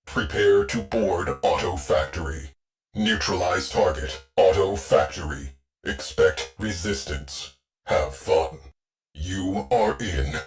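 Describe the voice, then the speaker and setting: A deep-voiced, stoic artificial mind runs a warship in a video game. It issues slow, clipped tactical orders with commanding authority and bone-dry, deadpan wit. Faint music sits behind the voice. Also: male voice. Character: terse, stoic ship AI, male military tactician with dry wit. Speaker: ship AI mission announcer. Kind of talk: scripted video game mission briefing.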